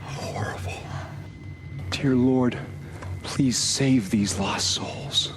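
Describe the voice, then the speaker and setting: A man's voice: mid-range voice, extremely slow, in a whisper. A man playing a brash, hot-tempered young thief with an indignant manner in a video game drama. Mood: horrified.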